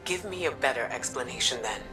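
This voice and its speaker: An actress playing a grave, composed mystic scholar in a video game, speaking in a measured, formal way. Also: female voice, extremely low pitch, animated, bright, forceful tone, far from the mic, soft background music, full character voice, low-quality recording. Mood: firm, earnest. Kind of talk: video game cutscene acting.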